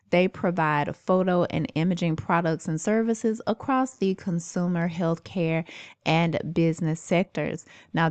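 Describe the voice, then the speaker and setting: A woman with a low, clear and resonant voice narrates an informal on-screen walkthrough as a video host. She explains things in a conversational, reassuring way. The sound is close and very dry. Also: darkish tone, no echo. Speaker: YouTube host presenting remote job listings.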